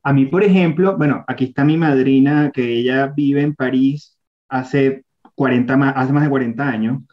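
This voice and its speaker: A man's voice: mid-range voice, fairly even intonation, moderate pace. Man on dull lo-fi call. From Venezuela. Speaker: group participant. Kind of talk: casual group discussion.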